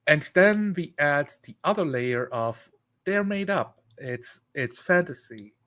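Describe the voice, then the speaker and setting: A man chatting casually on a live stream, rambling and thinking aloud in a halting way. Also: on the high side, lively intonation, fairly bright tone.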